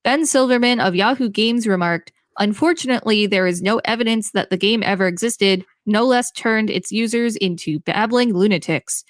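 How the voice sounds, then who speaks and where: A woman co-hosting a podcast, reading an encyclopedia article aloud in a clear, measured way with brief reactions. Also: medium pitch, no room sound, studio quality.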